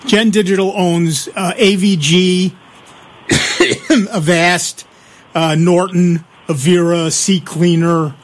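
Radio host guy, slightly high voice, easygoing, slow explainer. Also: fairly even intonation. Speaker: radio tech show host. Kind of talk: call-in radio talk.

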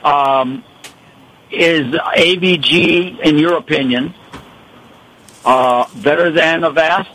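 A man from Rochester, New York, calling in to a radio show; he has a gravelly voice and talks haltingly with many restarts. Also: on the high side, pushed and bright, low-quality recording. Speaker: caller. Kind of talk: radio call-in.

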